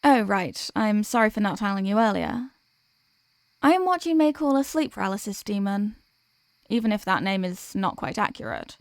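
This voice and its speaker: A woman's voice: mid-range voice, very animated, moderate pace, dry recording. A woman playing a teasing sleep paralysis demon who can be menacing or apologetic and tender, in an intimate ASMR audio roleplay. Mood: flustered, apologetic. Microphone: close microphone, dry.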